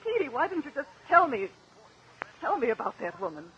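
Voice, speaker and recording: Gentle worried american wife, pitch goes up and down a lot, vintage radio drama, bit of hiss. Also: female voice, big pitch swings, brisk. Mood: anxious, pleading. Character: devoted worried wife, gentle, loyal, anxious. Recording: noisy old radio drama recording.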